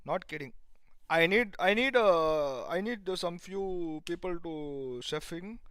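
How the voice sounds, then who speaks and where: A man, chatting loosely to his stream and ribbing people with blunt, crude jokes. His voice sits a little high for a man, and the sound is dry and close with no room echo. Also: expressive, slow, a bit bright, clear, resonant tone. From India. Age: 30s. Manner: joking banter, mixing Hindi words into English. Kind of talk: casual live-stream chat.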